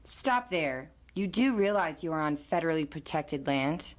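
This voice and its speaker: A woman playing an older, dignified grandmother who leads her tribe with measured authority in an audio drama. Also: deep voice, animated. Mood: firm.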